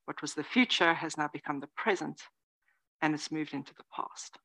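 Lively, slightly low-voiced woman teacher. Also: moderate pace, creaky, rough recording. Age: 40s. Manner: lively, conversational, with soft whispered asides. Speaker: Zen teacher giving a dharma talk. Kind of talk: dharma talk. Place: meditation hall before a live audience.

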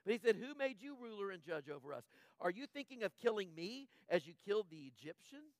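A man's voice: high-pitched, very animated, fairly quick, no room sound. A male pastor preaching a conversational sermon, acting out the characters' thoughts and asking the congregation questions.

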